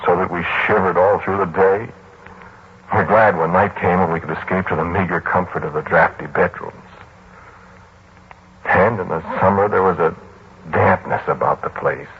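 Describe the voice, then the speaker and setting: A low-pitched, haunted man confides his story in 1940s radio drama style. He is halting and conversational, weary and defensive. The old recording is dulled, with clear hiss. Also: highs cut off. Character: weary, defensive male narrator recounting a haunted house.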